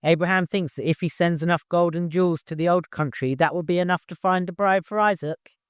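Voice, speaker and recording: A man's voice: high voice, fairly even intonation, smooth, no echo, clean studio sound, voice pushed up, close to an everyday voice. A man voicing a reserved, plain-spoken caravan guide in an audiobook, earnest and troubled. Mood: doubtful. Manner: blunt, halting, earnest.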